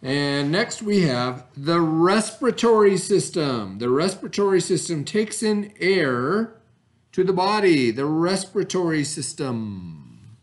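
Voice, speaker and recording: A man's voice: fairly high pitch, very animated, unhurried. A middle-aged man teaching a remote lesson to children, explaining simply, repeating key terms and checking understanding with short tags. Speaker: elementary school teacher. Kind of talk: remote read-aloud vocabulary lesson for children. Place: classroom, indoors.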